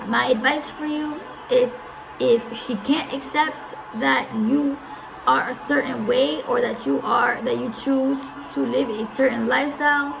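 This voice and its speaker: A young woman in her early twenties talks through advice in a loose, rambling way. Her voice sits a little high, with a heavy gravelly rasp. She drifts off track and circles back to the same point. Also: poor audio. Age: early 20s. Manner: rambling, easily distracted, repeats herself. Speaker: audio live streamer. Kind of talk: casual advice talk.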